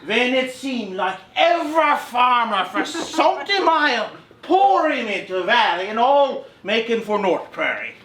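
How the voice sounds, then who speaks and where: A man's voice: extremely high pitch, big pitch swings, a little slow, few pauses, fairly bright tone, slight background noise, exaggerated voice. A man playing an old Norwegian immigrant farmer with a Norwegian-American accent, telling a tall tale as stage comedy. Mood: boastful. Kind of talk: live vaudeville stage comedy. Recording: echoey hall, live audience laughing.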